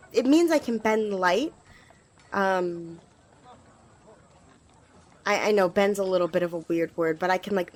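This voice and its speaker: A woman playing an eager, apologetic young squire in an ASMR fantasy roleplay, rambling and stammering as she speaks to the listener. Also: lowish voice. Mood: hesitant, explaining. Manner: rambling, hesitant, stammering, giggly. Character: eager, flustered young female magic-wielding squire, apologetic.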